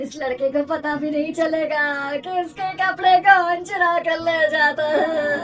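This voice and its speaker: A woman voicing a vain, quick-tempered cartoon river witch in theatrical exclamations. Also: sits high, fairly even intonation, reverberant, far from the mic, faint music behind, pitched above the performer's own voice. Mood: gleeful, scheming. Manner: theatrical exclaiming, swinging between anger and delight.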